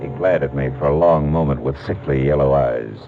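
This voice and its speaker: An actor playing a tough, wisecracking private detective with an American accent in a 1940s radio noir, hard-boiled and wry. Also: male voice, extremely low pitch, fairly quick, phone-quality sound, music in the background. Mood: wry, tense narration.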